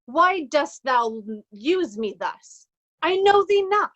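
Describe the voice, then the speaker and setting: A woman's voice: sits high, big pitch swings, slow pace, fairly bright tone, lo-fi sound, heavily acted. A woman playing a haughty, cowardly male steward in Shakespearean reader's theatre, affronted and theatrical. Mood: polite, affronted.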